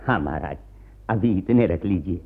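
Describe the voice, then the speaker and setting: An actor playing a poor, god-fearing peasant in a radio play, deferential and pleading, with a rural Awadhi-flavoured North Indian accent. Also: male voice, low voice, expressive, moderate pace, vocal fry, breathy edge, heavily acted. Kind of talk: Hindi radio play acting. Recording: muffled lo-fi radio archive recording.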